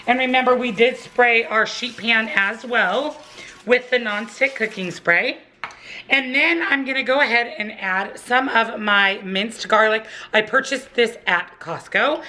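Bright-voiced woman narrating a cooking video. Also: fairly high pitch. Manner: chatty, instructive, upbeat. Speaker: cooking vlogger. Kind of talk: friendly step-by-step cooking voice-over. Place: home kitchen.

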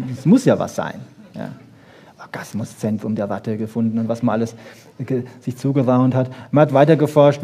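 A man giving a lively popular science lecture, emphatic, with rhetorical questions and short punchy asides. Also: medium pitch, creaky, breathy edge. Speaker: lecturer on brain research.

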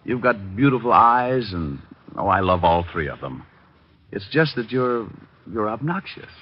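Wisecracking American private eye, glib and flirtatious, low, dull vintage radio-drama sound. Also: male voice, sing-song, measured pace. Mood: mocking. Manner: glib, sardonic. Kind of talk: 1940s radio acting and first-person narration. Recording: dull, noisy 1940s radio recording.

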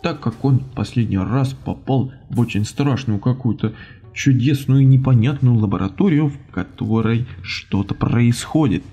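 A man giving casual, animated let's-play commentary on a video game, reacting and muttering to himself as host. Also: mid-range voice, moderate pace, slightly rough, highs cut off. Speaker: gaming channel host.

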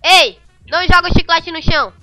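An amateur dubber with a Brazilian accent doing a comic sitcom redub in excitable, slangy, insistent delivery. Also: extremely high pitch, brisk, faint music behind.

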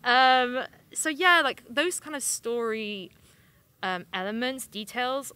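Bright-voiced young woman, high-pitched and chatty. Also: takes it slowly, clear, resonant tone, no room sound. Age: young adult. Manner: chatty, informal, slangy, loose rambling phrasing. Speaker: games journalist giving hands-on impressions. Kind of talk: casual conversational game impressions. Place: small indoor room at a games convention.

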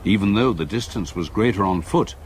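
An older man with an English accent, an actor playing a grave retired solicitor narrating his haunted memories in a radio drama, measured and reflective. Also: lowish voice, a little hiss or hum, close to an everyday voice. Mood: brooding. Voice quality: husky. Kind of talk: literary first-person radio drama narration.

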